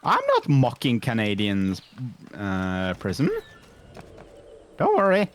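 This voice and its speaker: A man's voice: lowish voice, wildly swooping pitch, slow pace, clear, resonant tone. A man, chatting casually on a game stream with many fillers and restarts. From Norway. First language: Norwegian.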